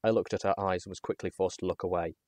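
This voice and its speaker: A man reading a first-person adventure story aloud as narrator, in an expressive, dramatic manner. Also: low voice, fast talker, dry recording.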